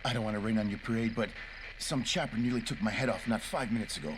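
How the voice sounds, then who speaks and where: A man's voice: lowish voice, fast talker, heavy background noise, close to an everyday voice. A man playing a folksy, good-natured forest ranger in a video game cutscene. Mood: mildly annoyed. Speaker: forest ranger on night shift.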